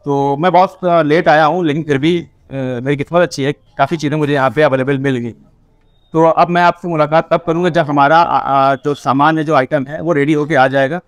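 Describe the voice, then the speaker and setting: A man's voice: a little high, fairly even intonation, a little fast, no echo, faint music behind. A man in his thirties hosting a casual food vlog, talking chattily with fillers, recorded on a lapel mic. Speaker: food vlogger host. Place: busy street at night, then restaurant indoors. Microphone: clip-on lapel mic.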